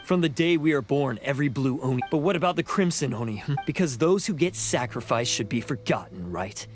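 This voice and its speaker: Guy doing a bitter mocking oni thief, anime game, lively swings in pitch, music under it. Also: fairly quick, natural voice. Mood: scornful. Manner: scornful, mocking, embittered. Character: bitter, scornful blue oni outcast turned thief. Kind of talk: anime-style video game voice acting.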